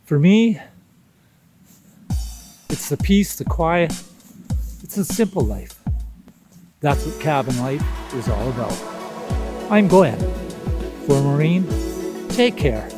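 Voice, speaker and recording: A man, speaking a reflective personal monologue in an unhurried, contemplative way with deliberate pauses. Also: fairly high pitch, very animated, over music. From Canada. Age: 60s. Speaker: vlog host.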